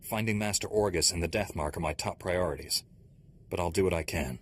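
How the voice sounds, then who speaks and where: A man playing a resolute Jedi Knight in a video game, measured and composed, with a dry wit. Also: low voice, breathy edge. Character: resolute male Jedi Knight, calm and dryly wry. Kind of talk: scripted video game acting.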